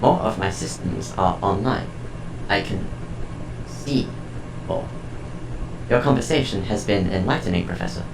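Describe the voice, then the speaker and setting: A man playing a calm, formal artificial intelligence in an audio drama, speaking in precise, measured sentences. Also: low-pitched, gravelly, echoey room, noisy background, heavily acted. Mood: curious.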